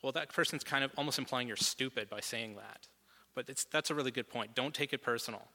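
A man presents a lesson from his notes, moving step by step and dropping in a few fillers. His voice is bright and forceful. It rings out across a reverberant hall. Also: mid-range voice, fast. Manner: steady and methodical, some fillers. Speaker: guest presenter.

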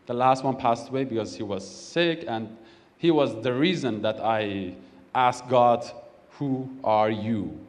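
A man's voice: medium pitch, unhurried. Kurdish-accented man in a church hall. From Iran. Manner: plain English with many fillers. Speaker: church leader.

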